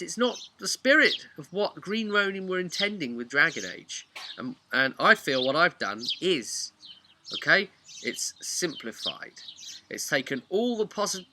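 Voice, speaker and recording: A man giving an informal, animated, chatty explanation of tabletop roleplaying rules. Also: medium pitch, background noise. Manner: animated, chatty, acts out imagined player remarks. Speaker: tabletop game master giving advice.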